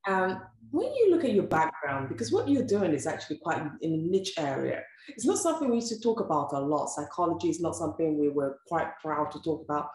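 A woman's voice: low-pitched, very animated, brisk, few pauses, a little mellow. A woman hosting an interview show, talking effusively and asking rhetorical questions. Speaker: host. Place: room with sofa, indoors. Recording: echoey room with faint music.

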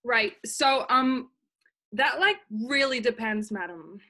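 High-voiced ditzy woman operator acted by a woman. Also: lively intonation, takes it slowly, bright, forceful tone, full character voice. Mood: puzzled, hesitant. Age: young adult. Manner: hesitant, filler-laden valley-girl phrasing. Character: ditzy, casual, apologetic female chief operator. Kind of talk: comic stage-play acting over video call. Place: small plain room at home. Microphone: webcam video call.

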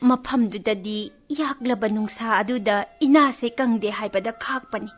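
A woman playing a cheerful, eager talking flower plant in a radio play, animated and giggly. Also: on the high side, very fast, close to an everyday voice. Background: faint music.

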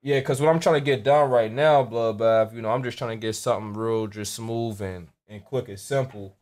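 Young american guy on a prank call, super slangy, keeps stammering n repeating stuff, normal pitch. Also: normal speed, a little mellow, soft background music. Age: young adult. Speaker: prank caller posing as a rapper client. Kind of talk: casual phone prank chat. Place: small room at home.

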